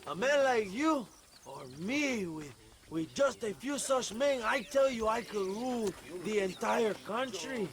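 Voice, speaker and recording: An actor playing a grandiose, self-important Mexican revolutionary leader in a game cutscene, with a Mexican accent and theatrical, halting delivery. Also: male voice, sits high, animated. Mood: boastful. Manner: pompous, theatrical, halting repeats. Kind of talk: video game cutscene acting.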